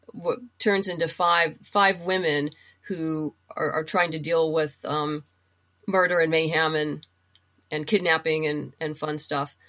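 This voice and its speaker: Woman with a lowish voice, chatting casually. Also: fairly even intonation, a little slow, a bit bright. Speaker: guest, comic book writer and novelist. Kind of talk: casual interview chat about books.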